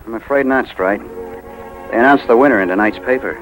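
An American actor playing a kindly, reassuring building superintendent in a 1950s radio drama. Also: male voice, medium pitch, moderate pace, soft background music, natural voice. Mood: gentle, regretful. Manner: gentle, reassuring. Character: kindly building superintendent, encouraging a little girl. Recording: noisy 1950s radio broadcast.

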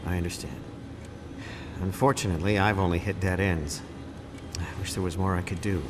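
A man's voice: sits low, steady tone, normal speed, background noise, close to an everyday voice. An actor playing a kindly, mild-mannered male scientist in a video game cutscene. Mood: regretful.

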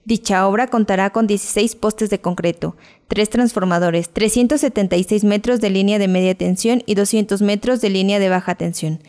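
A woman's voice: medium pitch, fairly quick, a little mellow. A woman with a Mexican accent narrating a scripted local news report as a reporter.

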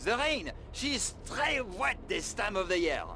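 An actor playing a fussy, excitable French courier in a video game, flustered and speaking with a thick French accent. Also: male voice, high voice, a little slow, creaky, slight background noise, heavily acted. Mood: secretive. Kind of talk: video game cutscene acting.

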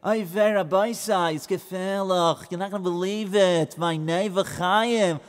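A man's voice: high-pitched, steady tone, unhurried. Man doing a fretful moaning neighbor, Yiddish exclamations, raised voice, long hall reverb. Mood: frantic. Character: frantic fretful man, neighbor bringing bad news.

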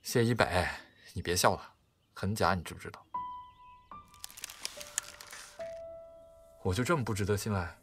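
Man acting an earnest low-voiced young companion. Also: fast talker, over music. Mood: hurt, insistent. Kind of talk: audio drama acting in Mandarin.

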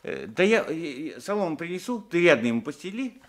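An actor playing a simple, flustered old peasant husband in a radio drama, stammering and eager to please. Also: male voice, on the high side, big pitch swings, a little fast. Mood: flustered, obliging. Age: older. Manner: stammering, hesitant. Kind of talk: Soviet 1980s radio drama acting.

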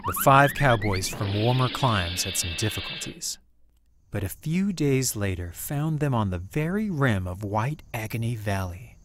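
A man's voice: fairly low pitch, expressive, unhurried, fairly bright tone, slight background noise. A man giving a dramatic scripted narration of a story as its narrator. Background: music.

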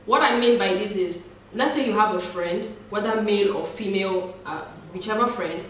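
A woman's voice: medium pitch, moderate pace. A young woman hosting a casual couch discussion, chatty and asking questions. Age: young adult. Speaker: host. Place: living room, seated on a couch. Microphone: far camera. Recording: roomy echo from a distant mic.

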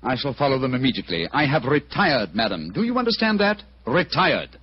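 An actor playing an urbane, wry, stubborn detective in an old-time radio drama, formal in manner. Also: male voice, medium pitch, fairly quick, close to an everyday voice. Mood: firm, exasperated. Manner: crisp, formal, amused, animated. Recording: dull, noisy old radio recording.

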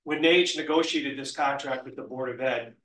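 A man, a union representative, hoarse from a cold, giving a formal explanation at a school board meeting from a podium. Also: mid-range voice, moderate pace, fairly bright tone, far from the mic. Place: meeting room, standing at a wooden podium. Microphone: podium mic, heard from a far camera. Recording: echoey room, voice heard from afar.

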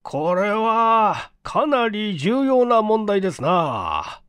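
A man's voice: high voice, very animated, slow pace, a bit bright, smooth, no echo, studio quality, voice pushed up, exaggerated voice. A man voicing a composed police chief in an audiobook, with a formal, businesslike manner. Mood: doubtful, courteous.

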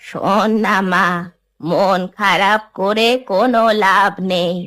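A woman playing a kindly old village woman in a cartoon, gently consoling as she speaks. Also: mid-range voice, steady tone, slow. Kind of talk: Bengali cartoon dub.

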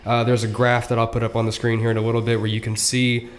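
A young man co-hosting a conversational podcast, talking through facts in a relaxed, chatty way. He fills gaps with "uh" and "like" and tosses in quick asides. His voice sits at an ordinary middle pitch for a man. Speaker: podcast co-host. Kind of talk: casual explanatory chat.

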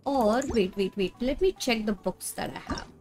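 A woman's voice: a little high, moderate pace, darkish tone. An Indian woman chatting casually on a gaming livestream, switching between Hindi and English. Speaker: game streamer. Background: game music.

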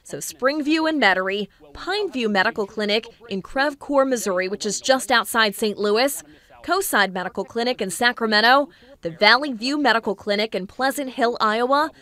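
A woman in her thirties reads an investigative news script with a measured, clear delivery. Her voice sits a little high and sounds bright and crisp. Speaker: investigative reporter.

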